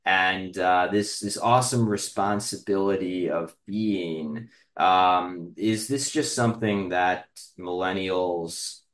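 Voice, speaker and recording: A man hosting a casual podcast interview, feeling his way through questions in halting, roundabout phrases, with roomy sound. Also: low-pitched, fairly bright tone. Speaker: podcast host.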